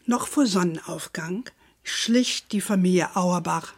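A woman's voice: on the low side, slightly rough, dry recording. An actress playing an older grandmother with an American-tinged German accent, tenderly telling her family history in a children's radio play. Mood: grave storytelling. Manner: measured, tender, sprinkles in English endearments. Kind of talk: gentle bedtime storytelling in a children's radio play.

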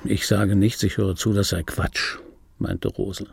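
A man's voice: on the low side, flat delivery, unhurried, vocal fry. An older man acting a wry, melancholy, proud film dubbing actor in a radio play monologue, laconic, insistent and given to muttered asides. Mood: wry, musing.

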